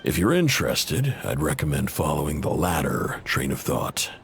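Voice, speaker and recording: Very deep-voiced, guarded information broker. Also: male voice, sing-song, moderate pace, a little hiss or hum, full character voice. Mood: guarded, informative. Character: proud, indebted male intel broker, guarded and exasperated. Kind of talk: video game voice acting.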